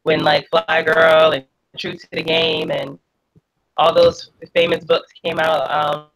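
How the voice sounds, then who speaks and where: Woman author, sick with a cold, raspy and gravelly, casual filler-heavy talk. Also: low voice, flat delivery, slow pace, a bit bright, low-quality recording. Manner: casual, many fillers like "like" and "you know". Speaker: author, guest. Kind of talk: casual video-call chat.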